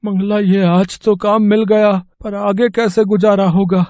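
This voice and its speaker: A man's voice: high voice, level tone, unhurried, darkish tone, dry recording, lo-fi sound. A man voicing a poor village labourer, a caring husband and father, earnest and fretful, in a moral-story cartoon. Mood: worried.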